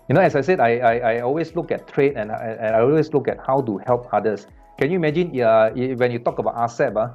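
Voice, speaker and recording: A man from Malaysia speaks casually on a business panel, at an ordinary pitch. He often stalls on repeated words and fills the gaps with "uh". The sound is dull and lo-fi, with faint music in the background. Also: a little mellow. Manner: halting, many repeated words and fillers.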